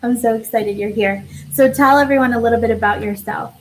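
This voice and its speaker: A woman's voice: on the high side, a little fast, slight background noise, poor audio. Bubbly, enthusiastic woman host. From Westchester, New York, USA. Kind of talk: upbeat interview hosting.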